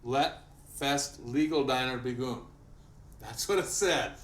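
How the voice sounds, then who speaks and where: Joking small-town mayor. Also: male voice, medium pitch, takes it slowly, fairly bright tone. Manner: joking, self-deprecating. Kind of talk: casual chat.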